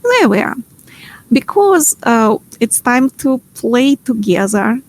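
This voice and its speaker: A middle-aged woman with a Ukrainian accent guides a home math workshop over a video call. Her voice sits a little high and she talks slowly, gentle and playful with many pauses and fillers. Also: very animated, poor audio. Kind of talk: online workshop teaching.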